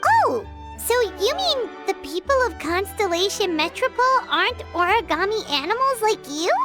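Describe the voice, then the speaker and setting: Tiny pixie girl, squeaky, extremely high, lively swoops, childlike game character, music behind. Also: normal speed. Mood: surprised. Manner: refers to herself in third person, exclaims. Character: small excitable childlike female pixie companion. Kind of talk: video game character acting.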